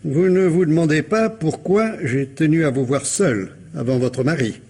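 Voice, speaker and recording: An actor playing a probing, sceptical police commissioner in a radio mystery drama, formal, ironic and insistent. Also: male voice, a little high, moderate pace, darkish tone, husky.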